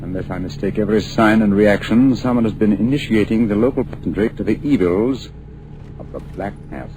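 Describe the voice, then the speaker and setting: A man's voice: fairly low pitch, fairly quick, darkish tone, natural voice, poor audio. Shrewd detective, played by a man, precise diction, 1940s radio acting, background hiss. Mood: measured. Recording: old radio broadcast with hiss.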